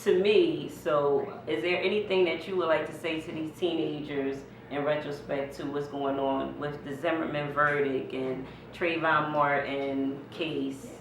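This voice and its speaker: A woman's voice: sits low, steady tone, a little slow, hardly any pauses. A middle-aged American woman speaking casually and conversationally as an interviewer. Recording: echoey room, distant mic, background noise.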